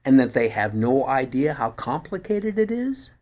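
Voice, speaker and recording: A man's voice: mid-range voice, sing-song, moderate pace, a little mellow, close to an everyday voice. A man voicing an eager, persuasive amateur sleuth in the dialogue of a first-person story reading.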